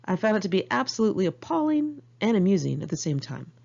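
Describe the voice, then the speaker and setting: An American woman reads her own memoir as an informal audiobook, with a candid, wry, expressive manner. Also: mid-range voice, fast, vocal fry, lo-fi sound. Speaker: author reading own memoir.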